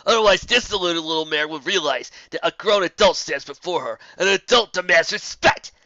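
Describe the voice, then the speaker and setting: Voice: medium pitch, brisk, bright, forceful tone, dry recording, muffled recording, pitched above the performer's own voice. A storyteller voicing a boastful, scornful adult male griffin who taunts with a theatrical, blustering sneer. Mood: mocking. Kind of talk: fan fiction story character voice.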